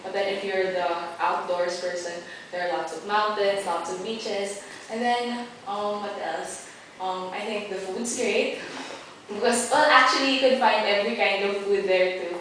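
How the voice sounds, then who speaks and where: A woman's voice: mid-range voice, fairly even intonation, moderate pace, fairly bright tone. A young Filipina woman gives a casual interview in a chatty, giggly storytelling manner. She is recorded from a distance in a very echoey room, with faint noise underneath. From Philippines. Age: young adult. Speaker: interviewee, volunteer trainer.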